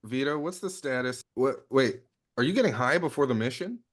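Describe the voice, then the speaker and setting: A man's voice: mid-range voice, animated, fairly quick, lightly acted. A man reading the part of a serious, easily exasperated gunslinger on a mission, in a clipped, scolding way. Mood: brisk, suspicious. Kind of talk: casual table read of a script.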